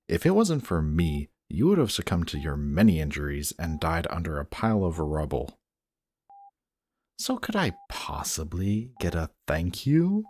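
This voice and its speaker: A man's voice: extremely low pitch, exaggerated voice. A man playing a smug, guarded supervillain in an audio roleplay, teasing and commanding, grudgingly protective of the person he is addressing. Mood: coldly threatening. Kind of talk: scripted roleplay audio drama addressed to the listener.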